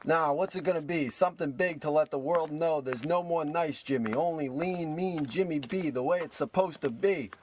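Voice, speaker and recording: A self-pitying small-time tough guy acted by a man in a comic old-time radio play. He has a slightly high voice and delivers wisecracks melodramatically, over a faintly noisy recording. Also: moderate pace, few pauses, close to an everyday voice. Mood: gleefully mean. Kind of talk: parody of old radio drama acting.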